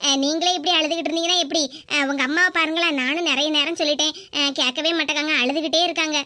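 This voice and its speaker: A woman voicing a kindly neighbor who calmly comforts a worried family. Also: sits high, fast, voice pushed down. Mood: reassuring. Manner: soothing, reassuring.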